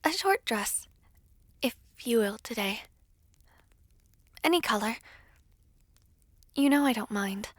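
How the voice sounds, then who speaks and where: A gentle young princess played by a woman speaks in a high, breathy voice at an unhurried pace. She is modest and hesitant, choosing polite, formal words. The recording is very dry and close, in the manner of an audio roleplay. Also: animated, no echo. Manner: soft-spoken, hesitant, polite, formal diction.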